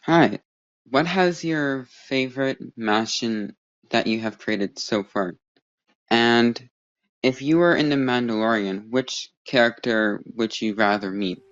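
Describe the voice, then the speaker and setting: A man caller asks questions in a slow, smooth, lowish voice. The recording is dry and dull, like voicemail. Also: a bit bright, no room sound. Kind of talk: deliberate question in a message.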